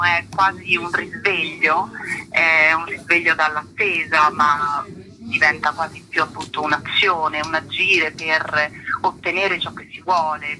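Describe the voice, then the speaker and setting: A woman, an artist explaining their work in an interview in a reflective, searching way, often halting and repeating words. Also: lowish voice, bright, forceful tone, slight background noise, rough recording.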